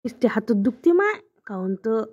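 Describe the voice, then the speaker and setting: A woman plays an easygoing village cotton picker who grumbles in a cartoon comedy. Her voice is high, in rustic, plaintive Varhadi Marathi. The sound is a little dull. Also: big pitch swings, normal speed, a little mellow, husky. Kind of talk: comic cartoon dialogue.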